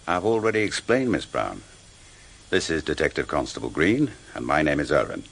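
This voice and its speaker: A man's voice: a bass voice, big pitch swings, normal speed, vocal fry, fairly bright tone, breathy edge, full character voice. An actor playing an officious, commanding male detective taking charge in a radio drama. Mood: stern.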